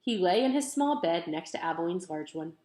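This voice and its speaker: A woman's voice: on the low side, lively intonation, brisk, darkish tone. Woman reading a storybook in an echoey room. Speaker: teacher reading aloud. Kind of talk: expressive children's book narration. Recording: roomy echo.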